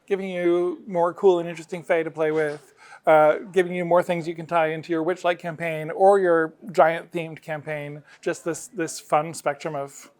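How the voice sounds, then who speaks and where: Middle-aged man with a higher-pitched voice. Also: flat delivery, normal speed. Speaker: game designer interviewed about his work. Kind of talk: casual interview explanation.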